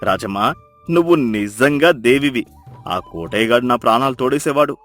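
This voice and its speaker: A man voicing a meek, grateful village husband in an animated moral story, earnest and admiring. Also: mid-range voice, moderate pace, soft background music, natural voice. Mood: relieved, admiring. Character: meek, grateful village husband praising his clever wife.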